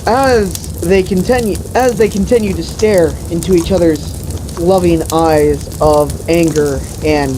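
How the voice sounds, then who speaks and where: A low-voiced woman spins an improvised fantasy tale for friends, swearing freely and treating it like a crude epic. Her delivery is slow and deliberate. A steady rain-like sizzle runs behind her. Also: background noise. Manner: profane, mock-epic, deliberate. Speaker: storyteller and host. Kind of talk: improvised comic fantasy storytelling among friends.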